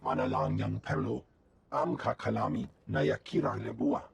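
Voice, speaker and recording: An actor voicing a gravelly, rasping male alien villager who speaks an invented tongue in a video game. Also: fairly low pitch, steady tone, moderate pace, noisy background. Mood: pleading, grave.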